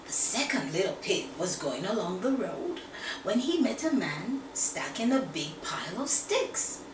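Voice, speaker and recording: Female storyteller, middle-aged, expressive children's read-aloud, unhurried, recorded roomy and slightly distant. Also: medium pitch. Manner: dramatic, with hushed phrases. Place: small room indoors. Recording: roomy, distant mic, faint noise.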